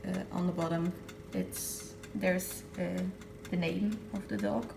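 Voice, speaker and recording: Young female vlogger, gravelly and a little low, chatty, filmed on a phone in an echoey home room with noise behind. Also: steady tone, slow pace. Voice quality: slightly raspy from just waking up. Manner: chatty, self-narrating while wrapping gifts. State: hiccups. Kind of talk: casual vlog chat. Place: home kitchen and living room.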